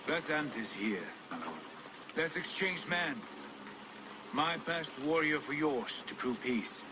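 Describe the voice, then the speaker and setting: An actor playing a proud, sly Viking leader in a game cutscene, taunting yet grandly courteous. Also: male voice, on the high side, lively intonation, slow, noisy background. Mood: taunting, bargaining. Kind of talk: video game cutscene acting.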